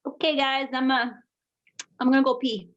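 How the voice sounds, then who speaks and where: High, awkward young woman acted, muffled sound. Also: level tone, slight background noise, close to an everyday voice. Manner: halting, awkward. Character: awkward young woman traveller, the third wheel. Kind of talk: dramatic play script reading. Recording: dull lo-fi livestream audio.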